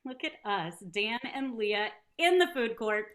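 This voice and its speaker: A woman's voice: a little high, lively intonation, unhurried, a bit bright. A woman acting a friendly, teasing career woman and old flame in a sitcom table read, casual and playful. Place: video call at a table read.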